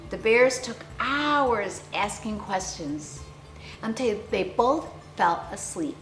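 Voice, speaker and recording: A woman storyteller reading a picture book aloud to young children with theatrical, deliberate phrasing and dramatic emphasis. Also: mid-range voice, animated, fairly bright tone. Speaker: children's storyteller, clown character host. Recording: roomy echo, faint music behind.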